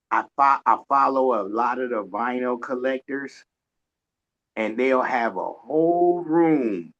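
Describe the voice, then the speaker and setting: A man with an unhurried, folksy delivery and a mid-range voice, co-hosting a radio show. He rambles through anecdotes in casual conversation. The audio is slightly dull and lo-fi. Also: medium pitch, a bit bright.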